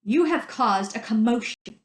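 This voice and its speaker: A woman's voice: on the high side, lively intonation, unhurried. Woman acting a stern, barking male chief guard, roomy echo. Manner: barking, scolding.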